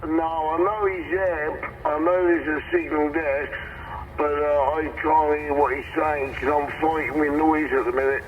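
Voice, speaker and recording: Higher-pitched man over CB radio. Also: level tone, a little slow, narrow, tinny audio, noisy background. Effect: heard over CB radio. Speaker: CB radio operator. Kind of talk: casual radio exchange. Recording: CB radio transmission with music behind.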